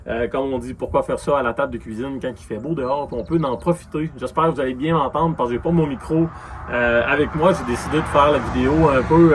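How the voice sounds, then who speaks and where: A man's voice: mid-range voice, a little hiss or hum. A man with a Quebec French accent, giving a casual spoken review as a motorcycle gear reviewer.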